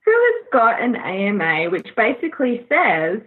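Woman podcast co-host, thin phone-like audio, highish lively voice, casual. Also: measured pace, slightly rough. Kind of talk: casual hosting, reading listener questions. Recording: thin, narrow phone-like line.

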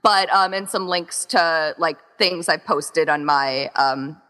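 A woman's voice: sits low, slow pace, bright, forceful tone, long reverb tail. A woman chatting casually and informally as a podcast interview guest, peppering her talk with "like". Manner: chatty, informal, heavy use of "like". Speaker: interview guest, event co-founder.

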